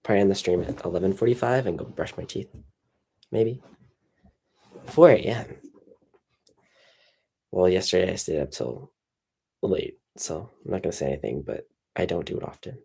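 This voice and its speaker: A young man with a very low, gravelly voice chats on a livestream, sounding tired. He rambles loosely and fills gaps with "like" and "um." His tone is dark and slightly husky. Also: normal speed, long pauses. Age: young adult. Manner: relaxed, rambling, many fillers. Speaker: streamer. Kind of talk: casual livestream chat.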